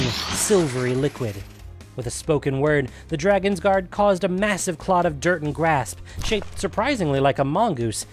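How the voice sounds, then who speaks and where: A man reading a scripted fantasy story as a dramatic narrator. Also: fairly high pitch.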